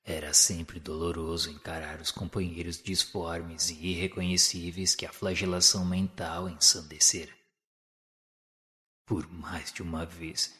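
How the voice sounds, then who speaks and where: A man giving a solemn, measured narration of a spiritual novel in a radio drama. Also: sits low, fairly bright tone, big-room sound. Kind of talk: scripted literary narration.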